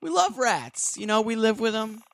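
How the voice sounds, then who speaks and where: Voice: mid-range voice, lively intonation, moderate pace, creaky, voice pushed up. A game master voicing a young, unschooled, streetwise scavenger kid in a tabletop game, slangy, wide-eyed and easily awed. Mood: awed, excited.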